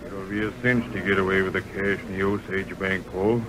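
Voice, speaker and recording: A man's voice: low-pitched, little pitch movement, brisk, natural voice, rough recording. An actor playing a terse, compliant outlaw henchman with a Western drawl in an old radio western. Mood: scheming. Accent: American Western drawl. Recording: old radio recording, busy saloon chatter.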